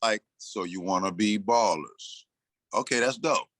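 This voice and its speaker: Guest: sixty-something man, bright forceful voice, dry close sound, loose storyteller who acts out his own lines. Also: on the low side, unhurried, no room sound. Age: 60s. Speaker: interview guest, rapper and producer. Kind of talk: casual interview talk.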